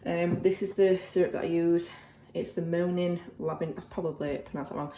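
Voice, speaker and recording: Chatty young Yorkshire woman, low mellow voice. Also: steady tone, brisk, a little hiss or hum. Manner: rambling, filler-heavy, self-deprecating. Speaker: vlogger. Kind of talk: casual chatty vlog. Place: home, kitchen then bedroom. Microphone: phone camera.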